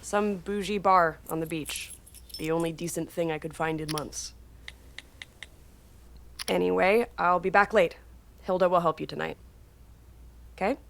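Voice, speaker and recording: An actress playing a weary, dutiful adult daughter in a stage drama, earnest and wistful. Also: female voice, fairly low pitch, a little slow, creaky, long pauses, a little hiss or hum. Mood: placating. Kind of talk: stage play acting.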